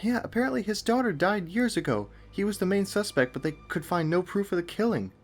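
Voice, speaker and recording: A man voicing an excitable, pushy young woman in a horror story reading. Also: sits high, brisk, faint music behind, voice pushed up. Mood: excited, urgent. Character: eager young woman on a walkie-talkie, bold, oblivious.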